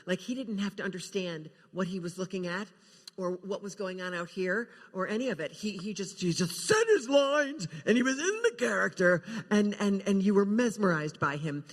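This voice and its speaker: Older woman, halting storyteller, repeated words, panel guest, long hall reverb. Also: medium pitch, lively intonation. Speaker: guest actor sharing film memories. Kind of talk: panel reminiscence, anecdotal storytelling.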